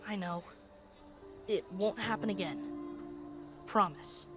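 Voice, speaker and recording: A woman voicing an eager, earnest young boy military trainee in an animated series. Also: mid-range voice, slow pace, natural voice. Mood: apologetic. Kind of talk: animated series character acting. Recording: dull sound with music behind.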